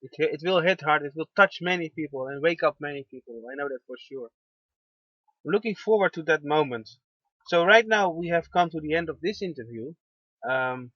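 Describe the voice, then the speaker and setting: A man hosting an internet radio show, gentle and affirming, pausing often with fillers. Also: a little high, no echo. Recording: dull lo-fi dry sound.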